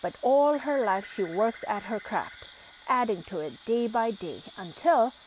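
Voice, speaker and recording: A woman reading a children's picture book aloud, expressive and lively in the exclamations. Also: on the high side, a little slow, a little hiss or hum, low-quality recording. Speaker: storybook reader.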